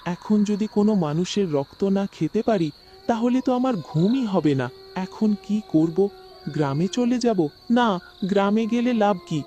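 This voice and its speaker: A bloodthirsty witch from a horror cartoon, acted by a man, speaking in a high voice. The manner is brazen, scheming and threatening. Night insects and some noise sit behind the voice. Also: animated, unhurried, warm tone. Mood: scheming, hungry. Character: bloodthirsty scheming witch haunting a coconut tree, menacing. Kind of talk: Bengali horror cartoon voice acting.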